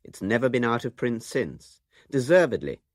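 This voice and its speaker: A man's voice: mid-range voice, sing-song, normal speed, no room sound, clean studio sound. A man presenting a scripted radio documentary.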